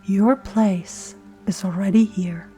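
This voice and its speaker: A woman leading a gentle scripted guided meditation in a soft, soothing, often breathy near-whisper, with deliberate pauses. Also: medium pitch, fairly even intonation. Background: soft meditation music and singing bowl tones.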